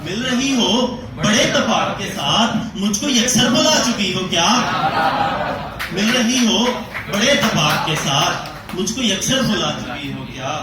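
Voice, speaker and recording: Man, dramatic poetry recitation, very echoey space, distant mic, theatrical delivery, deliberate pauses. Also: sits high, big pitch swings. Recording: echoing hall, distant mic, audience noise.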